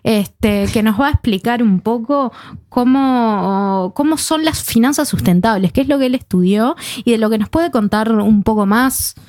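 A woman's voice: fairly high pitch, moderate pace, darkish tone, no room sound. Easygoing woman host, dry sound. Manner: easygoing, hands over to the next speaker. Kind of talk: moderating a panel.

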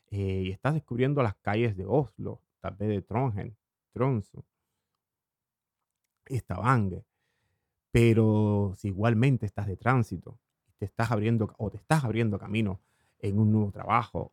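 A man from Cuba, hosting a morning radio program in a slow, lingering monologue with hesitant fillers. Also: medium pitch, a little mellow, dry recording, clean studio sound. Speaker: radio host.

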